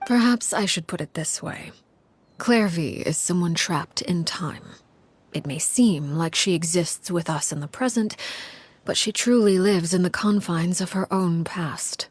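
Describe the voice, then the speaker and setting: A woman voicing a cold, commanding crime-family matriarch in a game, speaking in a measured, formal, stern way. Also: lowish voice, breathy edge. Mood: composed, explanatory. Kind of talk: dramatic video game voice acting.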